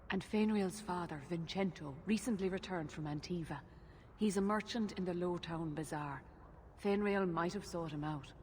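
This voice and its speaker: A worried elven mother, voiced by a woman speaks in a game cutscene, earnest and measured. Her voice sits a little low, with faint noise underneath. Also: a little mellow, natural voice. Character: anxious elven mother pleading for her son.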